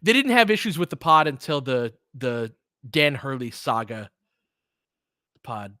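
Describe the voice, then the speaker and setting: A man's voice: medium pitch, very animated, measured pace, bright, forceful tone, no echo, studio quality. A man hosting casual game commentary, chatting candidly and rambling through stories and asides. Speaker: host doing audio play-by-play.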